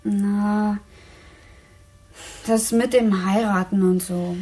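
A woman's voice: mid-range voice, steady tone, extremely slow, mellow, breathy edge. An actress playing a young working-class woman in a radio drama, defensive and frightened, with coarse, hesitant colloquial speech. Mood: uneasy. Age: young adult. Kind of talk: naturalistic radio drama acting.

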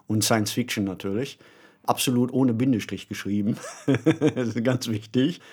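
A man co-hosting a podcast, presenting conversationally in a deliberate, musing and emphatic way. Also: medium pitch, darkish tone, slight background noise. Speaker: podcast co-host. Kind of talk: conversational presenting of radio plays.

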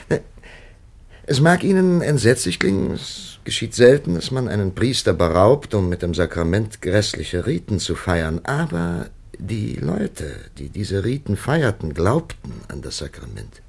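Low, creaky, sceptical old prelate. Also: male voice, a little mellow, full character voice. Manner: deliberate, hesitant, ponderous. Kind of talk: 1950s German radio play acting.

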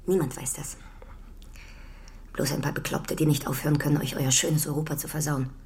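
An actress playing a bitter, driven woman kidnapper in a radio play, scornful and swinging between whispers and shouting. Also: low voice, flat delivery, a little fast, slight background noise. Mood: hushed bitter.

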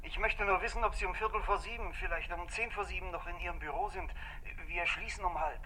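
An actor playing an anxious, brooding husband in a 1960s German radio play, polite and halting, with a hushed, breathy voice. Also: male voice, high-pitched, crowd noise, close to an everyday voice, low-quality recording. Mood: apologetic. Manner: hesitant, halting, apologetic, dropping to a whisper.

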